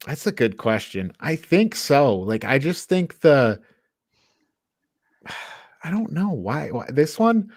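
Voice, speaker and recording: A man's voice: on the high side, big pitch swings, slow pace, long pauses, a little mellow, dry recording. Casual man in a dry close recording. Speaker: podcast host. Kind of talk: casual film review banter.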